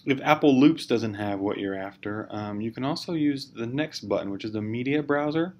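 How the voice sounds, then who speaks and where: A man giving an informal, step-by-step software tutorial in a hesitant, explanatory way. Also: medium pitch, animated, normal speed, dull audio. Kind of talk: informal screencast walkthrough. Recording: clear background noise, amateur screencast.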